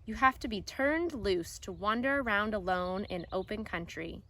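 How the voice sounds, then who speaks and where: A woman reading a children's picture book aloud like an elementary teacher, deliberate and expressive with pauses. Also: mid-range voice.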